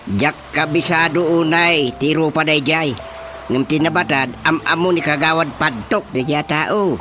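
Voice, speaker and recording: A man's voice: on the high side, a little slow, pushed and bright, slight background noise. An actor with an Ilocano Filipino accent playing an exasperated, exclaiming village chairman in a radio comedy drama. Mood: surprised. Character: excitable village chairman, exasperated comic official.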